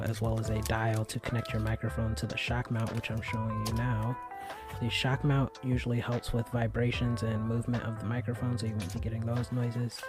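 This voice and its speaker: Soft-spoken guy doing a chill tech review, pretty monotone, music playing behind. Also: mid-range voice. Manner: relaxed, chatty, many fillers. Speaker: tech reviewer, channel host. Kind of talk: casual product review vlog. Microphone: USB desk microphone.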